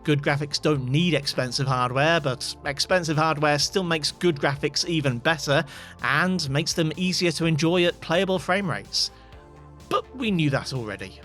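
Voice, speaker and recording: A man's voice: fairly high pitch, a bit bright. A man giving scripted voice-over narration as a tech video host, with dry, wry asides.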